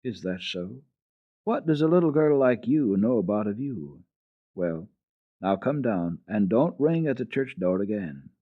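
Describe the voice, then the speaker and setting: A man's voice: fairly low pitch, expressive, unhurried. A man playing a blunt but good-natured church tower keeper in a dramatic storybook reading. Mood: gruff. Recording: dull phone-like old radio recording, roomy echo.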